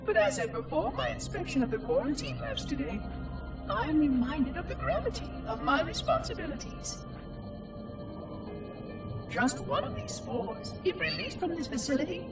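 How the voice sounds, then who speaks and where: A small floating machine caretaker from a video game, precise and strangely chipper. The voice sits high and leaps around, with game score playing clearly behind it. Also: male voice, huge pitch swings, moderate pace, distant mic, over music, exaggerated voice. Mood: solemn. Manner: precise, formal, oddly cheerful. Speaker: monitor of an installation.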